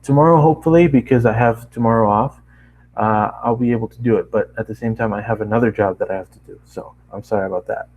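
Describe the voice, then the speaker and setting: A man's voice: fairly low pitch, fast talker, a little mellow, poor audio. A man narrating a story as an amateur voice actor, stumbling over words now and then and apologetic about it. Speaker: fan fiction reader, amateur voice actor. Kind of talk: story narration with casual asides.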